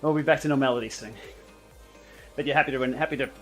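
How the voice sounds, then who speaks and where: A man's voice: mid-range voice, fast talker, faint music behind. A man hosting a live indoor cycling stream, chatting casually and answering viewer comments as he rides. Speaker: livestream host. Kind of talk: casual live chat while riding. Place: indoor training room.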